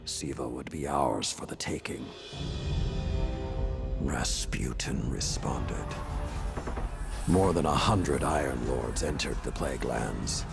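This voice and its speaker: An actor playing a grave, world-weary old warrior lord in a video game, telling of things solemnly and deliberately in a gravelly voice. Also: male voice, exaggerated voice. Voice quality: deep, gravelly. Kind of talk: dramatic video game acting. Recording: loud music behind the voice. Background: loud music.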